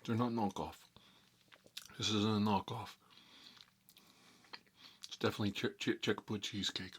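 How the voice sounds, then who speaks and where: A man's voice: fairly low pitch, fairly even intonation, a little hiss or hum, close to an everyday voice. A man playing a boastful Italian-American mob boss in an improvised ASMR role-play, rambling and muttering between bites of food. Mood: chatty, explaining. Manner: rambling, halting, muttering, talking with food in his mouth. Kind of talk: improvised ASMR character role-play, eating while talking.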